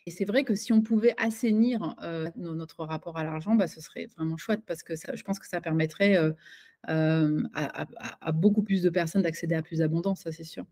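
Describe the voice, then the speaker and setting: A woman's voice: lowish voice, normal speed, mellow. A woman giving an interview as a coach and author, talking in a hesitant way with many fillers and restarts. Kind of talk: casual conversational interview.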